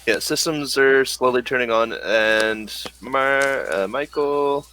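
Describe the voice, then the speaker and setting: A man running a tabletop game as game master, talking haltingly with many pauses and restarts. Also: medium pitch, bright, forceful tone.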